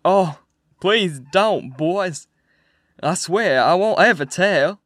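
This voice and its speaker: A man's voice: high-pitched, moderate pace, no room sound, clean studio sound, pitched above the performer's own voice. A man voicing a frightened, tied-up male robber who pleads and blubbers for his life. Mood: begging.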